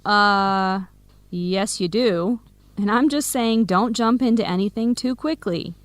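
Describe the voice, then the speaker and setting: An actress with an American accent playing a sassy, protective best friend from Philadelphia in a radio drama, with an emphatic delivery. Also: female voice, medium pitch, slow pace, no echo, lightly acted. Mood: cautioning. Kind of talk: scripted radio drama dialogue for English learners.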